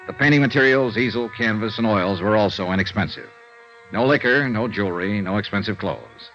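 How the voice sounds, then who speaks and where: An actor playing a world-weary, dry American investigator in a 1950s radio noir drama, with clipped hard-boiled delivery. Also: male voice, on the low side, fairly quick, a bit bright, faint music behind, lightly acted. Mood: somber narrating. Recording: muffled vintage radio recording.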